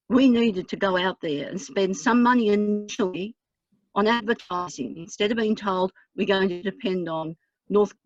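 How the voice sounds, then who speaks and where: A woman councillor with a husky, hoarse voice, speaking earnestly and plainly at a council meeting. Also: medium pitch, darkish tone, dry recording. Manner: earnest, measured, plain-spoken. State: hoarse from lingering smoke. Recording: dry, dull lo-fi meeting audio.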